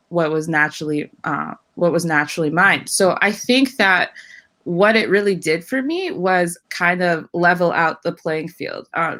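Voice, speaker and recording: Thoughtful woman, slightly low voice. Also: a bit bright. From Saskatchewan, Canada. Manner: thoughtful, searching for words, frequent fillers and restarts. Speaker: panel guest. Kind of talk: reflective panel discussion.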